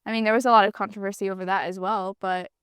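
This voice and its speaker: Casting note: mid-pitched woman from Massachusetts, smooth clear voice, hedging podcast co-host, dry close mic. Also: flat delivery, very fast, no room sound. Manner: hesitant, hedging. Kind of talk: casual chat.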